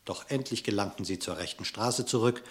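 A man narrating a radio play in verse, expressive and theatrical, with measured diction. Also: fairly low pitch, background noise.

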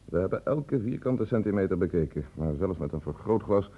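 Cautious hospital doctor, deep voice, husky, sceptical, measured explanations, radio play. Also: male voice, animated, dark tone. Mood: sceptical, explaining. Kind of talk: radio drama acting.

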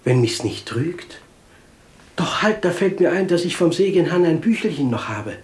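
An actor playing a humble, pious, simple-hearted lay brother, meek and halting, in a 1950s radio play. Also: male voice, a little high, animated, a little mellow, close to an everyday voice. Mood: unsure. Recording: roomy, noisy 1950s radio drama recording.

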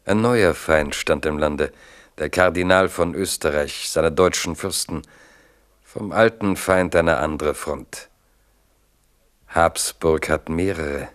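A man narrates a literary radio drama. His voice is very deep and he takes his time, speaking very slowly. The delivery is measured and dramatic.

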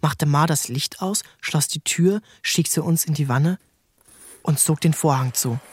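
A man narrating a literary novel in a measured, storytelling manner. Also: fairly high pitch, husky, dry recording, clean studio sound. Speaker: audiobook narrator.

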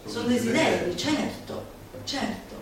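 A woman's voice: on the high side, expressive. An actress as a theatrical female orator in echoing hall. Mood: teasing, questioning. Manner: declamatory, exclamatory, drawn-out emphatic words. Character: manic, theatrical female futurist orator exalting laughter at suffering. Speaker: stage actress. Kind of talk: theatrical comic monologue before an audience. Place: roomy performance space, recorded from a distance. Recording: echoey hall, far mic, faint noise.

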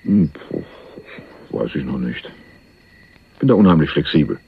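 Over narrow telephone-like sound, a laid-back male jailbird in a radio crime play. The voice is very deep and gravelly, swinging widely in pitch as he needles with sardonic, sceptical understatement. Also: sing-song, a little slow, full character voice. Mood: laconic. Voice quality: gravelly, husky. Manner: sardonic drawling understatement, teasing. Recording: muffled phone-like narrowband radio recording.

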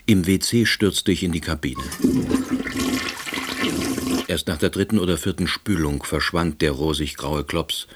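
A man's voice: low-pitched, measured pace, pauses often, heavy background noise. An actor playing a wry, middle-aged former official, telling his own story in dry, wordy scripted narration. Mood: deadpan, wry. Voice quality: husky. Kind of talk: scripted first-person narration.

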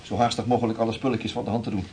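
A man's voice: on the low side, little pitch movement, a little mellow, lightly acted. Man as a hesitant bereaved seafarer, noisy recording. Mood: subdued. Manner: hesitant, polite, halting. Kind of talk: radio play acting. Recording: noisy, with background ambience.

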